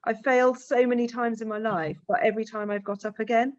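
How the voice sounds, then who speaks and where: A woman with a fairly high voice who starts her sentences hesitantly, then speaks openly and with self-deprecating humour. Her voice comes through a video call with dull, lo-fi audio. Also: steady tone, quick pace. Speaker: panel participant.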